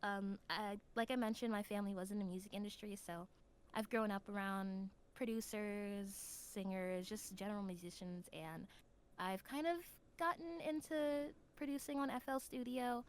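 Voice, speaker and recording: A young adult woman chatting casually as a podcast guest, telling stories in an animated, slangy way. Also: mid-range voice, normal speed. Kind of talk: casual chat. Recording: clear background noise.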